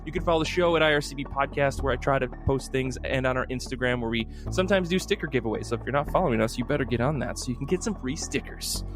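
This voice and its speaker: A man hosts a casual podcast, talking quickly and enthusiastically. His pitch is average for a man, and the style is loose and chatty, with faint music behind the voice. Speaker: podcast host.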